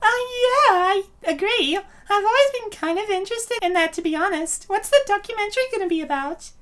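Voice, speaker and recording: Woman acting very high-pitched bubbly college girl. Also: animated, fairly quick, a bit bright. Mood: casual, curious. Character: bubbly, slightly ditzy young female college student. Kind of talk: comic dub character acting.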